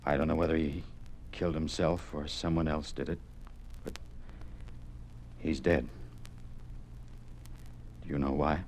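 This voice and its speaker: A grave father in an old radio drama. His voice is very deep, stern and weary. The vintage recording is audibly noisy. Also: fairly even intonation, unhurried, long pauses, a little mellow. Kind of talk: 1950s radio drama acting.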